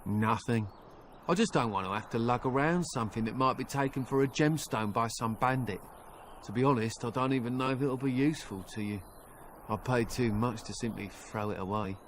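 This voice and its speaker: A man's voice: mid-range voice, fairly quick, a little hiss or hum, close to an everyday voice. A man playing a fretful rambling merchant. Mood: nervous. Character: nervous chatty male merchant, middle-aged. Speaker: merchant character. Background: game music.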